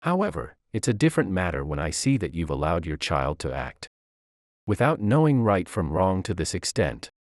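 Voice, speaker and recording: A man's voice: low voice, very animated, moderate pace, no room sound, studio quality. A man voicing a firm, composed chief executive in a narrated story. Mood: cold, firm. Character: stern, composed male CEO delivering judgement.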